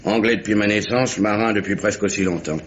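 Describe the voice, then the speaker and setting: Un comédien en capitaine de marine bourru, voix grave. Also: male voice, lowish voice, quick pace, close to an everyday voice. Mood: blunt, proud. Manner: blunt, colloquial, grumbling. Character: gruff, impatient male English merchant sea captain. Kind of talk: French radio mystery drama acting. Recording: dull, noisy vintage radio recording.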